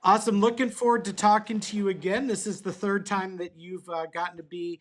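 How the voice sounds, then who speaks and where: A man's voice: high-pitched, fairly even intonation, fairly quick. A man hosting a church podcast, welcoming his guest in a friendly way with frequent filler words. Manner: friendly, filler-heavy, says "uh" often. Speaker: podcast host.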